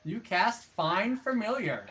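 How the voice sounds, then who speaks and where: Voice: medium pitch, sing-song, slow, a bit bright. A game master casually narrating an online tabletop game and chatting with the players.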